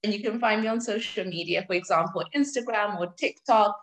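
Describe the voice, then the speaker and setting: A woman in her twenties, originally from Zimbabwe, speaks as a podcast guest at a medium pitch. She answers in a thoughtful, reflective way, pausing with small fillers as she gathers her thoughts. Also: a bit bright, low-quality recording. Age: 20s. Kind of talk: conversational interview answers.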